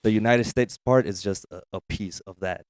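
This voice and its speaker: A man's voice: fairly low pitch, normal speed, a little mellow, clear, resonant tone, no echo, studio quality. A man in his thirties from the Mexican side of the border, a native Spanish speaker, telling stories casually as a podcast guest. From US-Mexico border region, Mexico. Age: 30s. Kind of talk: casual chat, storytelling.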